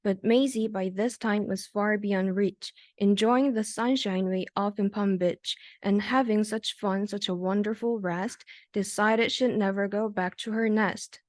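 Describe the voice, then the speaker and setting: A woman's voice: medium pitch, steady tone, a little slow, few pauses, no room sound, clean studio sound. A young woman, a student, reading a rhyming children's story aloud. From South Korea. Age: young adult. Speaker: university student reader.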